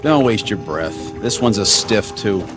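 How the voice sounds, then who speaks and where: A man's voice: on the low side, animated, unhurried, music in the background. Brash, teasing young American crewman. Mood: impatient. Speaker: actor in a radio drama. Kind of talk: radio drama acting.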